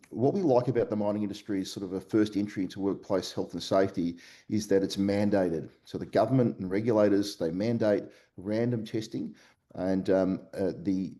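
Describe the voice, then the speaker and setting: A man with an Australian accent, a company CEO answering podcast interview questions remotely, halting often with fillers and restarts. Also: deep voice, mellow. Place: remote call from Melbourne.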